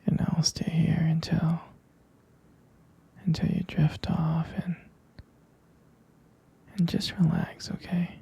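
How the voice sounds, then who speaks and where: A man speaking in a breathy, husky whisper, tender, soothing and halting, as a boyfriend in a bedtime ASMR roleplay, close to the microphone. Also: a little high, completely flat delivery, warm tone. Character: tender, protective boyfriend lulling partner to sleep.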